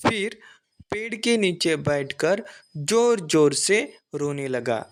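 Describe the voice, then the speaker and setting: A man's voice: fairly high pitch, animated, slow pace. A man narrating a scripted children's folktale as the storyteller.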